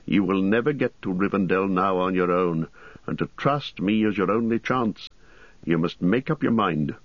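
A man voicing a grim, stern ranger who speaks gravely and firmly, in a low husky voice. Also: dry recording, muffled recording. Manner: grave, firm.

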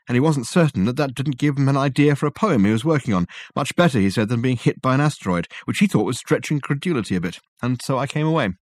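A man's voice: medium pitch, lively intonation, fast talker, hardly any pauses, no echo, studio quality, pitched above the performer's own voice, lightly acted. A man voicing an effusive, self-satisfied male detective who talks in flowery, rambling sentences.

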